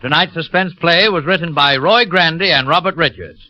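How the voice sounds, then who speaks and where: A man's voice: fairly high pitch, moderate pace, pushed and bright, no room sound. A man announcing programmes in a formal, dramatic 1940s radio style. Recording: dull vintage radio broadcast, music behind.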